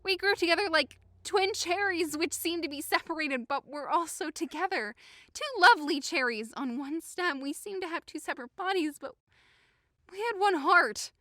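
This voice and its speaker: A woman's voice: extremely high pitch, moderate pace, pushed and bright, no echo, studio quality. A woman playing a hurt, indignant young woman in a modern-English Shakespeare audio drama, impassioned, accusing and sarcastic. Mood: wounded, pleading. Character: hurt, indignant young woman convinced she is being mocked.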